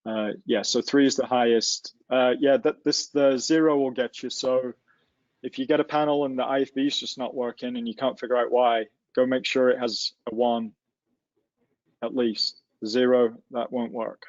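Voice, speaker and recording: A man's voice: mid-range voice, steady tone, normal speed, dry recording, muffled recording. A man teaching a live technical webinar, explaining things informally and step by step with hands-on examples. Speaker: instructor in a technical software tutorial. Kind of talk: casual live webinar walkthrough. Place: livestreamed online session.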